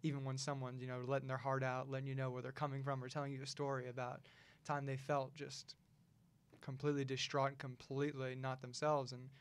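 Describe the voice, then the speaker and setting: A man's voice: mid-range voice, brisk, clear, resonant tone. A man hosting a podcast in a small studio, chatting casually and rambling a little, with frequent laughs. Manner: relaxed, rambling, laughs often. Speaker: podcast host. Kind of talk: casual chat.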